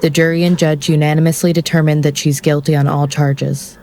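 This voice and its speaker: An actor playing a curt, by-the-book executioner in an audio drama, formal and deadpan. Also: medium pitch, fairly quick, slight background noise, lightly acted.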